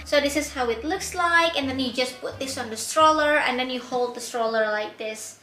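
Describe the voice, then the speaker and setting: Bubbly young woman with a bright, high voice. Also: normal speed, reverberant. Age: young adult. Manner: bubbly, giggly, gushing. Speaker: vlogger. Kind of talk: product unboxing vlog. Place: bright indoor room.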